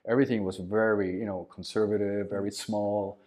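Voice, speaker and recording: Chatty older man with a low voice. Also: level tone, brisk, dark tone. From Switzerland. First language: Swiss German. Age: 60s. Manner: chatty, laughs often, repeats yes several times. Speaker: guest. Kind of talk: casual interview chat.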